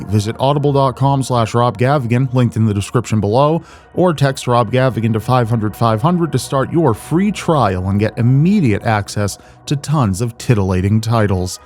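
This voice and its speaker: Casual man reacting, soft music behind. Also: medium pitch, normal speed, hardly any pauses. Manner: informal, rambling, jokey. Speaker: reaction video host. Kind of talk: casual, profane reaction commentary.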